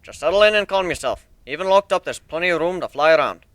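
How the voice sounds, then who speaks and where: Here is a boastful minotaur airship captain, played by a man reading a story aloud, hearty and brash. The voice is raised and forceful, with folksy salesman patter and a bright edge. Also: a little high, expressive, fast, dry recording, voice pushed up. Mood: brisk, instructing. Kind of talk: dramatic fan fiction reading.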